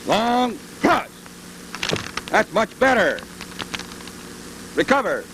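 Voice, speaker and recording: A man giving brisk, commanding military drill instruction with barked orders, on a vintage training film soundtrack. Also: high-pitched, sing-song. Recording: noisy vintage 1930s-40s training film.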